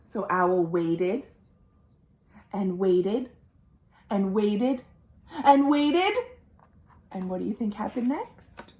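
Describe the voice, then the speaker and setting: A woman reading a picture book aloud for young children as a storytime host, animated, with questions to listeners and dramatic pauses. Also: medium pitch. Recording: roomy, echoing indoor sound.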